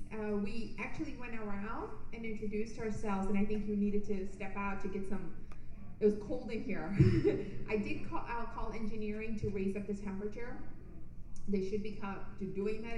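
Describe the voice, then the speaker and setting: Nurse host in an echoey room. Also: female voice, mid-range voice, warm tone, far from the mic, a little hiss or hum. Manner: loose, full of ums and restarts. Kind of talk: informal presentation to patients.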